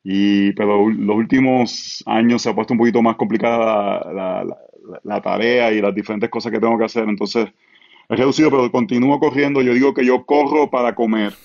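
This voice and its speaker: A man's voice: lowish voice, fairly quick, gravelly, lo-fi sound. A man in his forties with a Puerto Rican accent, a pastor chatting relaxedly as a podcast guest with plenty of fillers. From Puerto Rico. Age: 40s. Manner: relaxed, filler-heavy, self-deprecating. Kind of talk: casual podcast conversation.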